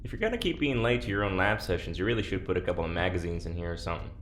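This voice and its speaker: An actor playing a wary, sardonic prisoner and test subject in an audio drama, blunt and sarcastic. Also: male voice, low voice, animated, very fast, fairly bright tone. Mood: sardonic, irritated. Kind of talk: naturalistic audio drama acting. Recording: roomy, echoing, faint background noise.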